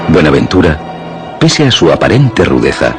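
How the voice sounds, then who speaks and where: A man with a Castilian Spanish accent narrating a radio suspense drama in measured, literary storytelling. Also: rumbling low, very animated. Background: music.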